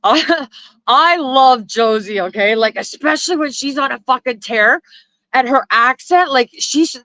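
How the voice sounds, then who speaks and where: Woman streamer, mid pitch, dry close phone sound, blunt and swearing, chatty. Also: a bit bright, no echo, low-quality recording. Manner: blunt, swears constantly, laughs often, talks to chat and dog. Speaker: livestreamer. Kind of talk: profane casual live-stream rant and chat. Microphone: phone held close.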